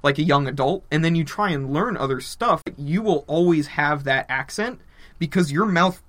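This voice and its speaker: A man's voice: on the high side, normal speed, a bit bright. A man in his twenties, rambling and opinionated in a casual chat as podcast co-host. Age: 20s.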